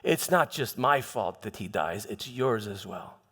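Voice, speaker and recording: A man's voice: lowish voice, lively intonation, fairly bright tone, heavily acted. A man playing a troubled Roman governor in a theatrical monologue, stammering and defensive as he justifies himself. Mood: accusing. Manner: halting, stammering, self-justifying, emphatic. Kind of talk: theatrical church monologue, first-person dramatization. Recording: long reverberant echo of a hall.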